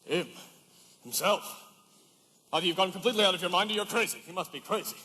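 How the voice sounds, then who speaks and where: A man playing a gruff, sardonic, soft-hearted old village father in a stage musical, with theatrical delivery. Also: high-pitched, quick pace. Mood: exasperated. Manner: theatrical, proverb-quoting, sarcastic. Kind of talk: stage musical acting, projected. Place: high school theater stage. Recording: echoey hall stage recording from afar.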